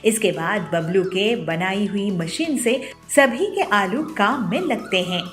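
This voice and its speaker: A woman's voice: medium pitch, animated, unhurried, faint music behind. A woman reading scripted narration for children's cartoon stories as the storyteller.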